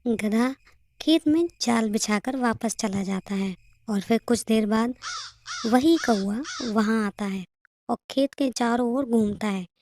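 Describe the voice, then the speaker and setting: A woman's voice: fairly high pitch, slow, a little mellow, a little hiss or hum. A woman reading scripted narration as the storyteller of a cartoon tale.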